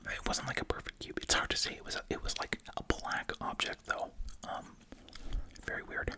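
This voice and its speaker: An ASMR host speaking in a breathy, unvoiced whisper, reading aloud and musing casually. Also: moderate pace.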